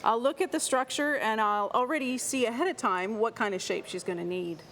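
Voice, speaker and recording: A woman's voice: fairly high pitch, brisk, fairly bright tone. A woman artist explaining and demonstrating her craft in a conversational interview.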